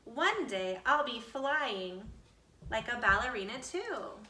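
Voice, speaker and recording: Woman reading a kids storybook out loud, slow n expressive, highish voice, roomy echo. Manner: deliberate, dramatic phrasing for children. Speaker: storybook reader. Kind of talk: expressive children's picture book read-aloud, with asides explaining to listeners. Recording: roomy echo, distant from the mic.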